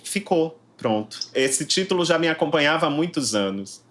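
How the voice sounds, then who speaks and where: A man's voice: on the high side, big pitch swings, normal speed, a bit bright. A man in his forties from Salvador with a Bahian accent, answering interview questions in a reflective way, often ending thoughts with tag questions. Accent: Bahian Portuguese. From Brazil, Salvador. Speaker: interviewed novelist.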